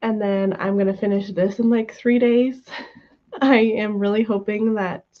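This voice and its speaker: A woman hosting a casual reading livestream from home, her voice worn from a cold, chatty and relaxed with many ums and soft laughs. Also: medium pitch. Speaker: livestream host of reading sprints. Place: at home, room with her cat. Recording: dull lo-fi stream audio.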